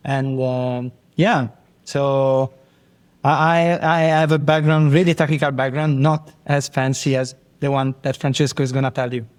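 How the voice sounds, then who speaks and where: A man with an Italian accent, a software engineer guest, speaking hesitantly with many fillers and repeats. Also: mid-range voice, fairly even intonation, unhurried. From Italy. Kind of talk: casual interview talk.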